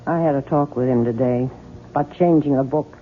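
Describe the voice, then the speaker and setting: A woman's voice: a bass voice, brisk. An actress playing a plain-spoken, nosy older American mother-in-law in a radio drama, chatty, blunt and insistent. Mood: suspicious, fussing. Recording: muffled, noisy 1970s radio broadcast.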